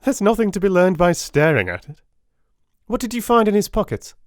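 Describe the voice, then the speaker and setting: A man playing a brilliant, commanding Victorian detective in an audiobook, questioning briskly and with authority. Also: high voice, no room sound, clean studio sound, voice pushed up. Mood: keen, commanding. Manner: rapid, animated, authoritative questioning.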